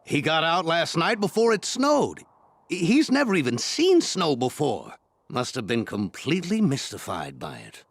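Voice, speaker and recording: A man's voice: fairly high pitch, wildly swooping pitch, measured pace, exaggerated voice. A man voicing a kindly, grateful farmer in a children's cartoon. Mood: relieved, grateful. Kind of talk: cartoon voice acting.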